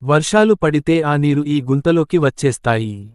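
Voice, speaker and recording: Man playing a steadfast farmer, fairly high voice. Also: animated, normal speed, clean tone, dry recording, clean studio sound, close to an everyday voice. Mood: gentle, earnest. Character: steadfast, proud village farmer and gentle father. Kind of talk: scripted moral story dialogue.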